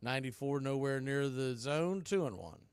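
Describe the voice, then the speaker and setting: A man calling a baseball game play by play, rising to excited calls on big plays. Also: mid-range voice, normal speed, smooth, dry recording. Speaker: play-by-play announcer.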